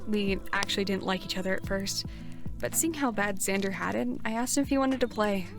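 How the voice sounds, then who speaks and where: A woman's voice: mid-range voice, brisk, natural voice. A woman playing a brash, resentful eighteen-year-old knight in a fantasy audio drama, talking bluntly and sarcastically. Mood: casual, wry. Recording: music behind the voice.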